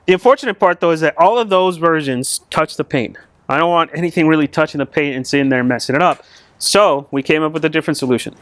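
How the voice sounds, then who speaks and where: A man with a slightly high-pitched voice hosts a do-it-yourself vehicle video. He explains each step casually and conversationally, as if chatting with fellow hobbyists. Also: fairly bright tone, clean tone, no room sound. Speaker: host of an off-road vehicle channel. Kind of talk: casual install tutorial to camera.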